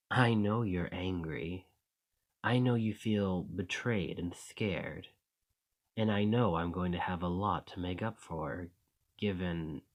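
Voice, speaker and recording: A man voicing a possessive, sinister shapeshifter monster in an intimate ASMR roleplay, speaking in a coaxing, deliberate, softly menacing way. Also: low voice. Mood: earnest, possessive.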